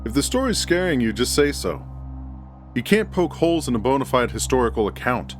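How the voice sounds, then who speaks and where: A man's voice: mid-range voice, big pitch swings, fairly quick, voice pushed up, close to an everyday voice. A man voicing a joking friend who performs tall tales with theatrical mock seriousness, as in an audio horror story. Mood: mock lecturing. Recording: music bed under the voice.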